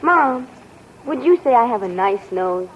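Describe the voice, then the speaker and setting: A man's voice: extremely high pitch, lively intonation, measured pace. A young man playing an earnest, chatty teenage son in a 1940s radio sitcom. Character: teenage boy, earnest and shy. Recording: muffled old radio broadcast with hiss.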